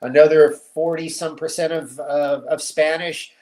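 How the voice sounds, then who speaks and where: A middle-aged man born in Cuba, a guest telling family stories in a relaxed, easy way and laughing often. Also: a little high, measured pace. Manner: relaxed storyteller, laughs easily. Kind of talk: casual interview chat.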